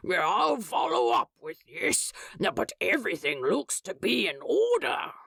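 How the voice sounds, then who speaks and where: A woman voicing an officious, easily pleased crocodile demon caseworker in an audiobook, with a deliberate bureaucratic manner. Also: medium pitch, very animated, vocal fry, a bit bright, husky, dry recording, studio quality, heavily acted. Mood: satisfied, businesslike.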